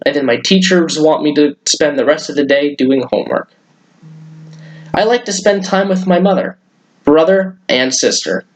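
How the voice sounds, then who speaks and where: A man's voice: fairly high pitch, close to an everyday voice, rough recording. Man reading as an upset young schoolboy. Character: upset young schoolboy who dislikes homework.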